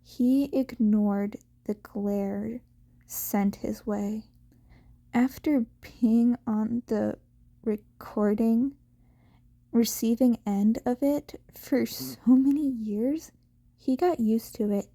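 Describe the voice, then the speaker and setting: A woman's voice: a little high, steady tone, very slow, mellow. A woman reading fiction aloud as an amateur, in scripted story narration.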